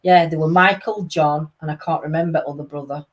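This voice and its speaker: A woman's voice: low voice, fairly even intonation, fairly quick. Chatty woman hosting a livestream. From Wales. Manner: chatty, laughing often, reads comments aloud. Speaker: livestream host. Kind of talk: casual livestream chat.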